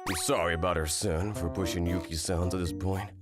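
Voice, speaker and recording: A man's voice: low-pitched, fairly even intonation, fairly quick, music in the background, natural voice. A man playing an older, apologetic, kindly father-in-law in a manga dub. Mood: sombre, apologetic.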